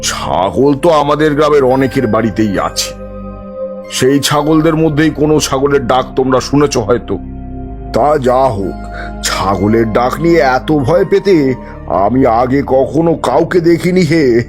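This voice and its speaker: A man's voice: medium pitch, lively intonation, unhurried, soft background music, close to an everyday voice. A man playing a skeptical, dismissive villager in a cartoon, talking in a colloquial, questioning way. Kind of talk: cartoon voice acting.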